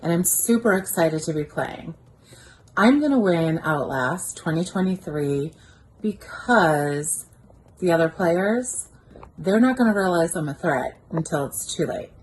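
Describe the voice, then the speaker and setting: A woman's voice: fairly low pitch, animated, unhurried, slight background noise, rough recording. A woman in her forties from Massachusetts, cheerfully introducing herself as a contestant. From Massachusetts, USA. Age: 40s. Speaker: reality game contestant.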